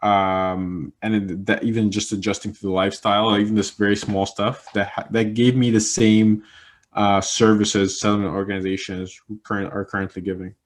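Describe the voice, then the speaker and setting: Young Egyptian man, low voice, rambling. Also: little pitch movement, moderate pace. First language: Arabic. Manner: rambling, many fillers and restarts. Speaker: interviewee, communication specialist. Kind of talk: casual interview answers.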